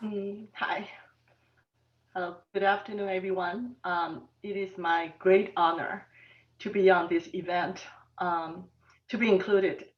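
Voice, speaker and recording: Soft-spoken Taiwanese-born woman, distant lo-fi. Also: lowish voice, fairly even intonation. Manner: soft-spoken, frequent ums, halting. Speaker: mathematics professor, panelist. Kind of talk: personal talk at an online panel. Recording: distant, dull lo-fi sound.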